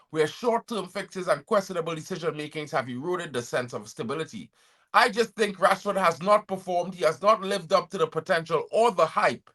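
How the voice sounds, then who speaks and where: A man's voice: high-pitched, pushed and bright. A man from Trinidad and Tobago hosting a football fan channel, reading transfer news aloud and cutting in with chatty, opinionated asides to his viewers.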